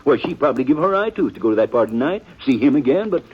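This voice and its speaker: An excitable folksy frontier peddler. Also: male voice, mid-range voice, very fast, slightly rough. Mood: gossipy, sympathetic. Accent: rural American. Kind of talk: old-time radio western acting. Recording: muffled, noisy old radio broadcast.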